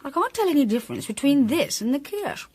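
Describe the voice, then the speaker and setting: Upper-class English wife, high-pitched, animated, light and teasing, period radio drama. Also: female voice, a little fast. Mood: puzzled, musing.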